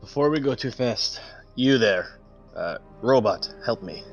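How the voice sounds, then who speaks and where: Medium-pitched male tabletop player over music. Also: animated, a little slow, highs cut off. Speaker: player in a tabletop roleplaying game. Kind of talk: casual game table chat. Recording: background music under the voice.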